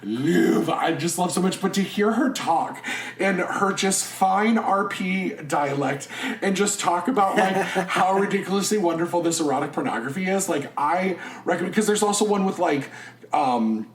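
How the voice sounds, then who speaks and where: An excitable man in casual podcast chat, his voice sitting a little high and bouncing around an echoey room. He gushes with plenty of likes and ums. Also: moderate pace. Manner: chatty, enthusiastic, many fillers. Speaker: podcast co-host.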